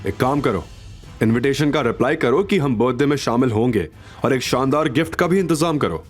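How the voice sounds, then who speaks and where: A man voicing a flustered, eager company director in his fifties, breathless and excitable, in an audio story. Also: mid-range voice, brisk, soft background music, natural voice. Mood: urgent. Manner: breathless, deferential to his boss, snappish with staff. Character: flustered eager fifty-year-old male company director.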